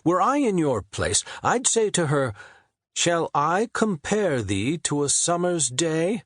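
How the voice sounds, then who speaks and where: A man voicing a theatrical poet in his forties, who declaims his lines slowly and loftily with a husky voice. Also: on the high side, very animated, dry recording, studio quality, voice pushed up, exaggerated voice. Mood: musing, poetic. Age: 40s. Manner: drawn-out, declaiming, slow and lofty. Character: theatrical poet, 41-year-old man, grandly wordy.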